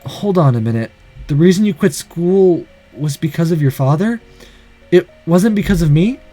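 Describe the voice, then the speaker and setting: A man's voice: on the high side, lively intonation, normal speed, warm tone, faint music behind. A man voicing an anxious, earnest young man pleading desperately in a visual novel. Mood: urgent. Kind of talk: dramatic visual novel character reading.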